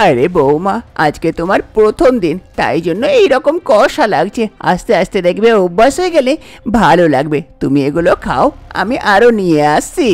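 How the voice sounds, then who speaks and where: A woman's voice: fairly low pitch, big pitch swings, fairly quick, a little mellow, voice pushed down. A woman voicing a scheming middle-aged village mother-in-law in a cartoon tale, coaxing and insistent.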